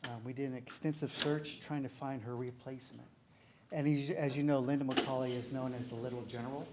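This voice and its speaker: A man presenting at a board meeting, speaking in a relaxed, chatty way with frequent ums. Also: medium pitch, a little fast, crowd noise. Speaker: finance administrator presenting to a board.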